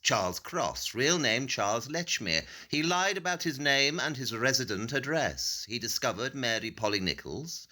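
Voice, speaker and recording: Bright, animated Victorian detective. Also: male voice, medium pitch. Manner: deliberate, theatrical, laying out cases point by point. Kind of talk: audio play acting.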